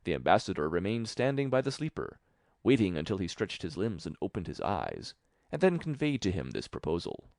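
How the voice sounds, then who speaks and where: Male audiobook narrator, low pitch, gravelly creak, fairy tale reading, expressive, dry studio-like sound. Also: brisk, dry recording.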